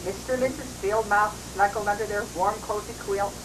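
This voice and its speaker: A woman's voice: medium pitch, fairly even intonation, fairly quick. An older woman, a grandmother, reading a storybook aloud to children on a noisy old home recording. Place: at a family Christmas party.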